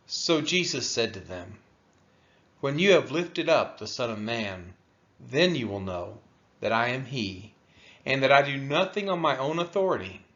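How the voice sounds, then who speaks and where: A man reading scripture aloud in a solemn style, with deliberate, emphatic phrasing and a dull, lo-fi sound. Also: medium pitch, a bit bright. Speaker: scripture reader.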